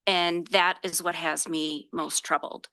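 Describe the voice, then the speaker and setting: A resident woman addresses a council meeting at public comment. Her voice is loud and bright, and she speaks earnestly about her concern. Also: sits low, little pitch movement, a little slow. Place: council chamber.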